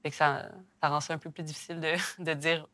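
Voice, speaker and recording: A woman with a Québécois accent sharing her experience reflectively, hesitant with many pauses and restarts. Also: low-pitched, level tone, sharp tone, no echo. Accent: Québécois French. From Quebec. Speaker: discussion participant.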